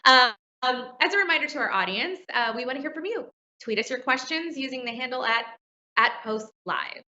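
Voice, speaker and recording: A woman's voice: high-pitched, lively intonation, normal speed, sharp tone. A woman, a technology reporter, hosting and moderating a live interview, friendly and a little flustered at first. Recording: lo-fi with a roomy echo.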